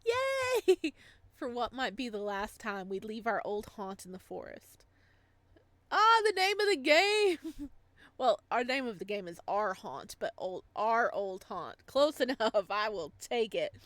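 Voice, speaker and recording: A woman's voice: a little high, very animated, a little slow, fairly bright tone, no echo. A woman reading a visual novel aloud as a let's play, gushing and gasping in her reactions.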